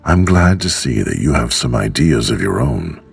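A man voicing a kindly, calm old grandfather in a storybook, speaking deliberately. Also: extremely low pitch, creaky, husky, soft background music, exaggerated voice. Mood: approving.

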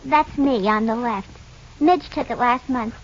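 An actress playing an excitable, chatty young showgirl in an old American radio drama, breathless and wide-eyed. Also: on the high side, measured pace, natural voice. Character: excitable young showgirl musician, plain-spoken and impressionable. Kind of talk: 1940s-50s radio acting. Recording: muffled, hissy old radio recording.

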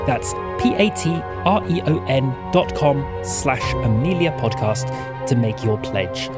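A man reading scripted end credits and announcements for a fiction podcast. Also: a little high. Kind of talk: scripted narration. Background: loud music.

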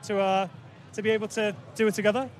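A man's voice: high-pitched, flat delivery, fast talker, a little hiss or hum. A man speaking hesitantly, with many fillers, outdoors at a festival. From Liverpool. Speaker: podcast guest.

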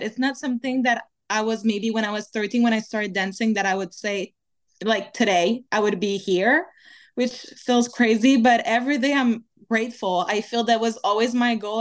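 A young woman from Quebec whose first language is French, speaking English with a Quebec French accent as an interview guest, chatting casually with lots of "like" and "kinda". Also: fairly high pitch. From Quebec, Canada. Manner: chatty, many "like" and "kinda" fillers. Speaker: guest, dancer.

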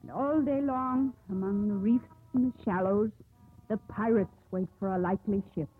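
An actress playing a steely young woman sea captain in 1950s radio drama style, crisp, formal and authoritative. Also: medium pitch, normal speed, lightly acted. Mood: measured narrating. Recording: tinny, noisy 1950s radio broadcast.

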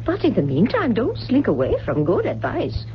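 A woman's voice: fairly high pitch, wildly swooping pitch, moderate pace, soft background music. An American woman acting a doting, genteel older mother in a radio drama, coaxing and fussing. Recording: dull, noisy old radio broadcast.

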